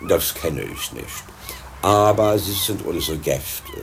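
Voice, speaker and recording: A low-voiced, self-important harbourmaster, acted by a man, speaking haltingly and officiously like a local big boss in a radio comedy. The amateur recording carries faint noise. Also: animated, vocal fry, full character voice. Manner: pompous, halting. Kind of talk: improvised comedy radio play. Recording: slightly noisy amateur 1980s recording.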